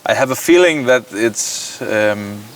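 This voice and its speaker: A man's voice: fairly low pitch, lively intonation, takes it slowly, slight background noise. A man speaking English as a farmer and contractor, answering interview questions hesitantly, searching for words. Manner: hesitant, searching for words, many fillers and restarts. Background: clear background music.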